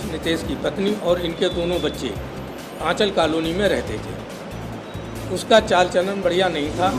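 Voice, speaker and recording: An older man giving a statement in an interview, speaking in deliberate, halting phrases. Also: high-pitched. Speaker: interviewee, father-in-law giving his side. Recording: loud music over the speech. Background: loud music.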